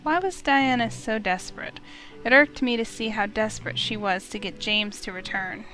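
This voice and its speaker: A woman with a fairly high voice reads first-person game narration aloud. She tells it as a dramatic story, in the manner of a let's play. Music plays behind her. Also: moderate pace, sharp tone, poor audio. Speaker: let's player reading the heroine's narration aloud.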